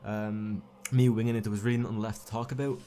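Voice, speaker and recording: A man's voice: on the low side, level tone, quick pace, dark tone, slight background noise. A young man giving casual video commentary as a YouTube film commentator, rambling and often correcting himself mid-sentence. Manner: rambling, self-correcting, stumbles and restarts. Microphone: home recording mic.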